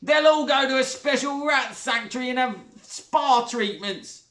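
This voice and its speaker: Shrill brassy stepmom done by a guy, loud and coarse, way up high, roomy echo. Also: recorded from a distance, heavily acted. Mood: furious. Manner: shrill, brash, drawn-out oohs. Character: loud coarse spiteful middle-aged stepmother.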